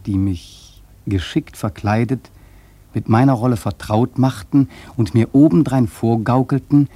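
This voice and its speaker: An actor playing a brooding, wry sailor who narrates in a reflective, dramatic radio-play monologue, with a husky voice. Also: male voice, medium pitch, dark tone, no echo. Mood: musing. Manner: reflective, dramatic storytelling with deliberate emphasis.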